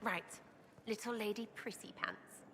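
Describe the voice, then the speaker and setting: An actress playing a brash, cheeky young rebel prankster in a video game, with an English accent and slangy, crude, restless phrasing. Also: female voice, medium pitch, unhurried, sharp tone, some chatter behind. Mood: sly, mischievous. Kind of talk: video game cutscene acting. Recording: long hall reverb, background ambience noise.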